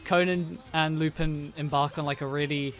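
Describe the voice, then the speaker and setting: A man's voice: on the high side, steady tone, normal speed, loud music behind the voice. A young man co-hosting a casual movie review chat, rambling with many fillers and restarts. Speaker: podcast co-host.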